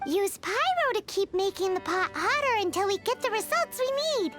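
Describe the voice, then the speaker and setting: A woman voicing a tiny, cheerful, excitable childlike fairy companion in a video game, with a squeaky, chirpy delivery. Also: heavily acted. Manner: chirpy, exclamatory, refers to self in third person. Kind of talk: video game character voice acting.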